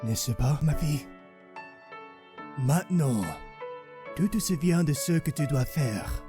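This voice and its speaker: An actor playing a tender, anxious French father figure in an audio drama, with a French accent and a gentle, coaxing manner. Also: fairly high pitch, animated, unhurried, music in the background. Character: tender, anxious French father-like illustrator comforting his creation.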